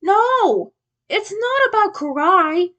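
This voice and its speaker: A woman's voice: very high voice, lively intonation, slow pace, sharp tone, lo-fi sound, voice pushed up, exaggerated voice. A woman reading the part of an earnest, sheepish teenage male turtle leader in a fan story. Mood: apologetic, nervous.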